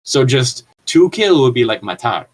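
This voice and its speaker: A man's voice: mid-range voice, very animated, normal speed. A man co-hosting a comedic reading, chatting casually with rambling explanations and some mild swearing. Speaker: co-host reading and riffing on fan fiction.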